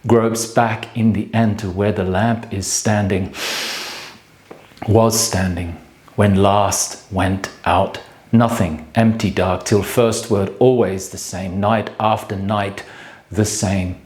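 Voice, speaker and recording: Slow, grave low-voiced actor as a brooding man. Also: steady tone, darkish tone. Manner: halting, clipped fragments with measured pauses. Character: bleak solitary male speaker brooding on birth and death. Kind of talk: theatrical dramatic monologue. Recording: echoey room sound.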